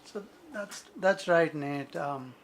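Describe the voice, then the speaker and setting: A man from India with an Indian accent, answering conversationally as an interview guest, earnest and somewhat halting. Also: on the high side. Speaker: interview guest, agricultural scientist.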